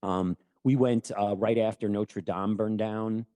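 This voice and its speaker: A man's voice: fairly low pitch, steady tone, measured pace, warm tone, no echo. A man hosting a casual interview podcast, talking in an enthusiastic, rambling, self-deprecating way. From United States. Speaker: podcast host and former teacher.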